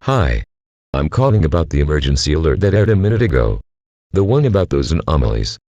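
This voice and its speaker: A man's voice: extremely low pitch, big pitch swings, brisk, a little mellow, no room sound, lo-fi sound, heavily acted. A man playing a curious, puzzled citizen on a scripted call to police, with a questioning manner. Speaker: caller. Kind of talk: scripted phone call drama.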